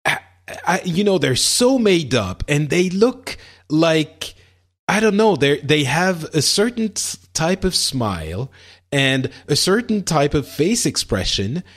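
A man co-hosting a casual podcast, asking curious questions and reacting quickly to his co-host. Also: a little high, big pitch swings.

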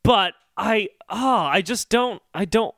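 A man's voice: high-pitched, slow, creaky, a bit bright, no echo, studio quality. A man co-hosting a podcast with casual, joking banter. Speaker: podcast co-host.

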